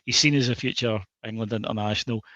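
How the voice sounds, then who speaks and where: A man's voice: on the low side, fairly even intonation, fast talker, fairly bright tone, no echo. A Scottish man co-hosting a football podcast, chatting casually with lots of fillers and restarts. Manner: hesitant, many fillers and restarts. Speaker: podcast co-host. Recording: dull dry lo-fi sound.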